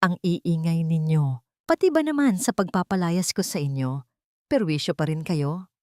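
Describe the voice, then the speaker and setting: A woman's voice: fairly low pitch, expressive, normal speed, darkish tone, dry recording, studio quality, natural voice. Woman voicing a harsh, heartless husband. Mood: irritated, harsh. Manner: harsh, contemptuous. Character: heartless adult husband, cold bullying villain.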